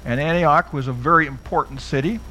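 Middle-aged man with a fairly high voice, chatty Bible lecturer who asks his listeners questions. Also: brisk, pushed and bright, slight background noise, poor audio. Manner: conversational, asks the audience questions. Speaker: Bible teacher.

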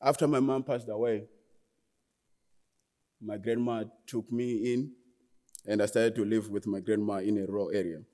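An earnest young man with a Zimbabwean accent tells his life story from the front of a large hall. His voice sits low and mellow, and he often stops to restart a phrase. The room adds a long, trailing reverb. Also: fairly even intonation, moderate pace, pauses often. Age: 20s. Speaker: guest speaker. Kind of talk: personal testimony talk.

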